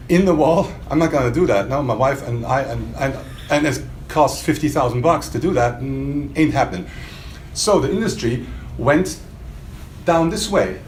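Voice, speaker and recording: A man giving a spontaneous, emphatic product demonstration to a small audience, quizzing his listeners with rhetorical questions. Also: a little high. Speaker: product demonstrator for a loudspeaker maker. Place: indoor hotel demo room. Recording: roomy trade show demo, faint background noise.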